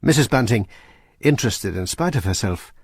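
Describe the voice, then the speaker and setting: A man narrating a radio drama in a measured, steady storytelling voice. His tone is slightly husky, and the recording is close and dry. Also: fairly low pitch, no room sound.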